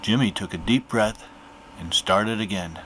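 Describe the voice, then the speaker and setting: Low-voiced man reading a picture book. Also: unhurried, slight background noise, low-quality recording. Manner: animated storybook narration. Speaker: storyteller. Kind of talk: picture book read-aloud.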